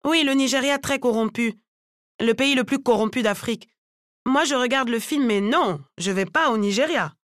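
A narrator, a woman, plays an animated African immigrant hairdresser from a novel. She is blunt and emphatic, pitched high, repeating herself in broken grammar, in a dry recording. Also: normal speed, dry recording, lightly acted.

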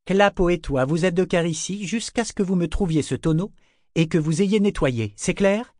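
Male narrator as commanding woman lieutenant, raised pitch, curt orders, defiant, audiobook character, dry close sound. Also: moderate pace, no echo, close to an everyday voice. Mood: commanding, biting.

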